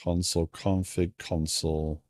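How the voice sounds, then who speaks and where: A man with a British accent softened by years in America, chatting casually on a coding live stream and thinking aloud in a stop-start way. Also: deep voice, level tone, unhurried, no room sound.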